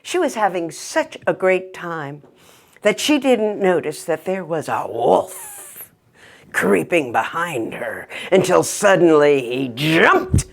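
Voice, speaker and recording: A woman's voice: on the low side, big pitch swings, takes it slowly, gravelly. A woman telling a fairy tale to children in a dramatic style, with emphatic repetition. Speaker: storyteller.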